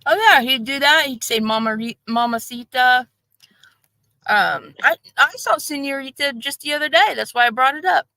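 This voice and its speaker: A woman with a high, bright voice chats on a livestream. She talks with animated energy and mocks people in exaggerated sing-song voices. The sound is close and dry. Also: moderate pace, no echo. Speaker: livestream co-host. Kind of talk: casual livestream chat.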